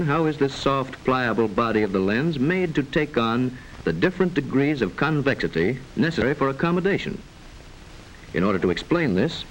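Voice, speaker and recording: Lively vintage educational film narrator, a man. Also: medium pitch, low-quality recording. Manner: formal, measured, didactic, precise enunciation. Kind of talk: scripted mid-century educational film narration. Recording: hissy vintage mid-century film soundtrack.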